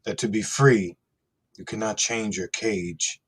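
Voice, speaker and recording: A man playing a calm, resolute hacker hero in a radio play reading, speaking in a measured, earnest way with a husky voice. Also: lowish voice. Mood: earnest conviction. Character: calm, resolute freed hacker hero giving a defiant message. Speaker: podcast host.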